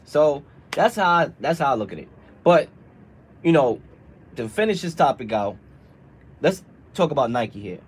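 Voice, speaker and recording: A man's voice: on the high side, very animated, breathy edge. A young man co-hosting a radio show, giving long opinions in a casual sports debate, his voice a bit strained. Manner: talkative, drawn-out opinions. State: strained voice from frequent shows.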